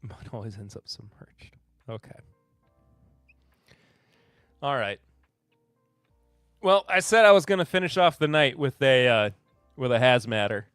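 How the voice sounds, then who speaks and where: A man's voice: medium pitch, lively intonation, normal speed, a bit bright, clean tone, dry recording, soft background music. A man from Kentucky hosting a live podcast in casual chat, laid-back and chuckling as he reads and answers viewer comments. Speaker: podcast host.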